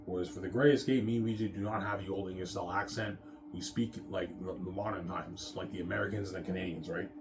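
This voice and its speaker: A man's voice: low voice, fairly even intonation, quick pace, music in the background. A man in a casual, rambling monologue, boastful and repetitive as he circles back over his phrases. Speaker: self-styled film maker talking about his movie projects.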